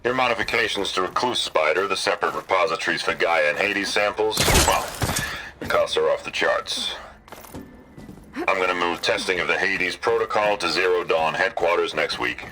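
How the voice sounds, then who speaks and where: A man's voice: deep voice, sharp tone, slight background noise. An actor voicing a curt, businesslike male corporate executive in a video game. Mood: stern. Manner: brisk, businesslike.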